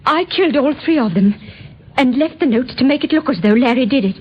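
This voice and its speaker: An actress playing a nervous, desperate young woman in an old radio drama, with a husky voice and stammering, earnest delivery. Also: high voice, expressive, brisk. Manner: stammering, breathless hesitations, earnest. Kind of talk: old time radio acting. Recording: muffled vintage radio recording.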